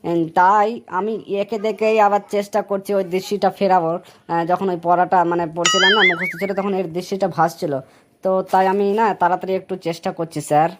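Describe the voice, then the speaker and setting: A woman's voice: lowish voice, steady tone, quick pace, a little hiss or hum, close to an everyday voice. A woman voicing a cheeky cartoon schoolboy who makes rambling, pleading excuses in colloquial speech. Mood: sheepish, pleading. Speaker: voice actor in a comedy cartoon. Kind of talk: comedy cartoon dialogue.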